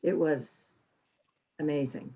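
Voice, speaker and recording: A woman recalling a personal experience slowly and reflectively, with hesitations, on a video call. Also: deep voice, fairly even intonation, mellow. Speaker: group member.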